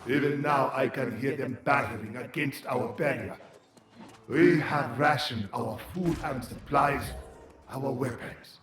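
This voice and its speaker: A man's voice: medium pitch, unhurried, echoey room, background noise, lightly acted. Man acting a grave, besieged game soldier. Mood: resigned dread. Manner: solemn, formal, resigned. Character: besieged soldier recording a last desperate account, grave. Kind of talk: dramatic game log narration.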